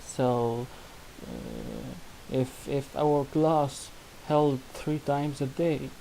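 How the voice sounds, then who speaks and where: A young man from Syria with an Arabic accent, a non-native English speaker, demonstrating his student project in halting speech full of restarts and fillers. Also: medium pitch, animated, gravelly, warm tone, a little hiss or hum, rough recording. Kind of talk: screen-recorded software demo.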